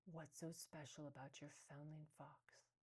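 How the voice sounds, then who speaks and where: A woman's voice: deep voice, little pitch movement, measured pace, darkish tone, slightly rough. A woman voicing a nosy, skeptical female fox neighbor in a storybook read-aloud, questioning and disapproving.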